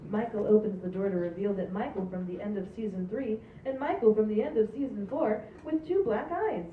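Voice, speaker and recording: A woman's voice: mid-range voice, fairly quick. A woman reading script stage directions aloud at a live table read. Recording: roomy, distant, muffled, very noisy live recording.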